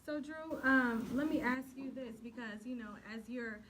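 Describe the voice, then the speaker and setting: A woman's voice: sits high, moderate pace, background noise. A woman talk show host chatting casually as she interviews guests, encouraging and responsive as they speak.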